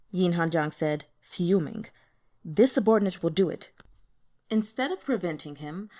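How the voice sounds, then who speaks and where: A woman reading a story aloud as narrator, with an informal, playful and expressive delivery. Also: fairly low pitch. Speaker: audiobook reader. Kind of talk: animated amateur fiction narration with character voices.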